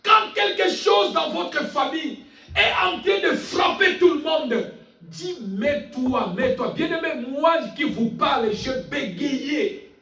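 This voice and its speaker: A male preacher with a very high-pitched voice delivers a fervent sermon with big swings in intonation. He is insistent and repeats phrases for emphasis. The sound is echoey and picked up at a distance from the mic. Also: expressive, takes it slowly, pushed and bright, raised voice. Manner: calls on listeners for amens. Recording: echoey room, distant mic, faint music.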